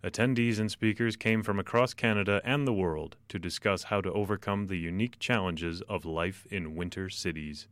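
A man reporting a feature, narrating a script clearly and deliberately. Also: low-pitched, a bit bright.

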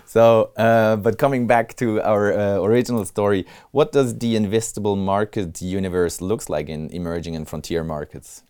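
A man with a deep, smooth voice hosts a podcast interview. He is friendly and teases his guest. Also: steady tone, normal speed, hardly any pauses, a little mellow. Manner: friendly, teasing. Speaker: podcast host.

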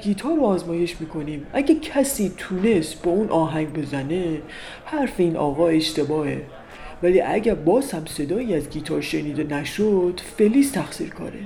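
A woman voicing a fat, grumpy male judge who talks loud and commanding. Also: fairly low pitch.

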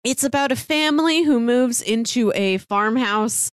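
A woman's voice: on the high side, a little slow, clean tone, no echo, clean studio sound. A woman co-hosting a podcast, explaining things in a casual, informal way as in a book club chat. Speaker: podcast co-host.

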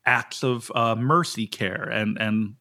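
A man, a pastor from the United States, hosting a casual Bible study podcast in an animated way, often restarting his sentences and leaping up in pitch to make a point. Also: takes it slowly. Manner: animated, jumps up in pitch for emphasis, frequent restarts.

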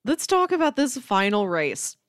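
A woman's voice: medium pitch, big pitch swings, moderate pace, no room sound, clean studio sound. A woman co-hosting a casual film discussion, speaking in an enthusiastic, emphatic way full of hyperbole. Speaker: podcast co-host.

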